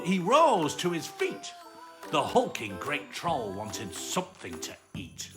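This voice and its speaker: A low-voiced woman reads a children's story at an unhurried pace. Her delivery is dramatic and hugely varied in pitch, lingering on words. Music plays clearly behind her. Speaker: storyteller reading aloud. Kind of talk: expressive children's bedtime story reading.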